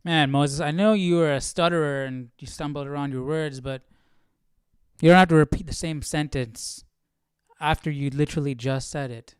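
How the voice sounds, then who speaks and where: Smooth, higher-pitched casual male streamer. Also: fairly even intonation, moderate pace, dry recording, clean studio sound, soft background music. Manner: informal, musing, jokey. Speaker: livestream host. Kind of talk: casual chat, reacting to a Bible reading.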